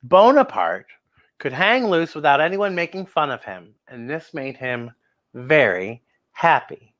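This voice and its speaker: Female children's storytime host: very lively pitch, expressive read-aloud, exclaims and addresses young listeners directly. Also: a little slow, highs cut off.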